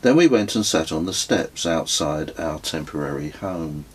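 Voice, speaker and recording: A middle-aged man reading his own memoir as an audiobook, with a husky voice and a measured, literary storytelling manner. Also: rumbling low, lively intonation, slight background noise. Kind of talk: scripted audiobook narration.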